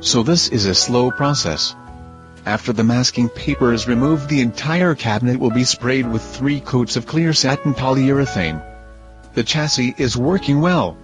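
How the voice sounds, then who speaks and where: Man narrating over clear music. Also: medium pitch. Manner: steady, plain reading.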